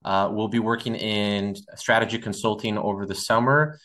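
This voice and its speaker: A young man from Brazil hosts a student podcast in a somewhat low, upbeat voice. He mixes a scripted opening with casual, filler-laden chat. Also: fairly even intonation, moderate pace, a bit bright. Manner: upbeat, with many "awesome"s and uh fillers. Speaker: podcast host.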